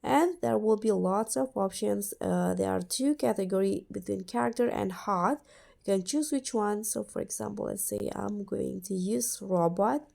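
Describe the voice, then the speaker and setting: A woman's voice: mid-range voice, moderate pace, vocal fry, dark tone. A woman hosting a tutorial, narrating an app walkthrough step by step. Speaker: tutorial host.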